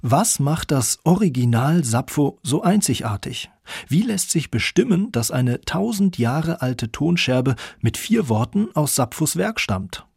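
A man presenting a radio feature in scripted narration, with a gravelly, husky voice. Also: a little high, very animated, measured pace, a little mellow, no echo, clean studio sound.

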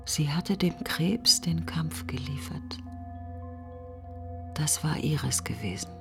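An actress playing a bitter, grieving woman in a literary radio play monologue, speaking in clipped, intense fragments with a breathy husky voice. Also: sits low, full character voice. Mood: subdued, grieving. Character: bitter, grieving woman reflecting on her dead best friend.

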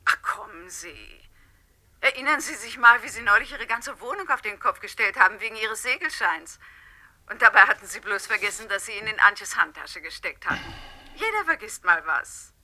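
An actress playing a chatty, self-assured aunt in a German radio play, talking in an animated, brisk manner. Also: female voice, mid-range voice. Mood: teasing, dismissive.

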